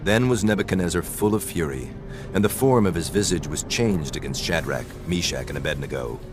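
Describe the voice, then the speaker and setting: A man narrating dramatized scripture in a solemn, measured storytelling manner, reading scripted archaic English. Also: low voice. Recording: music bed under the voice.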